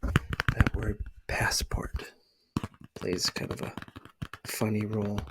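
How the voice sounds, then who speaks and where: A man doing a soft-spoken ASMR roleplay, murmuring and often dropping into a whisper, pausing as he thinks aloud. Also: lowish voice, monotone, low-quality recording. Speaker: ASMR video creator.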